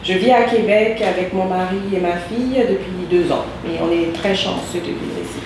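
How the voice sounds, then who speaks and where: Woman, guest, from France, halting speech, distant, echoey. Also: lowish voice, background noise. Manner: halting, full of fillers. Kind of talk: interview answers.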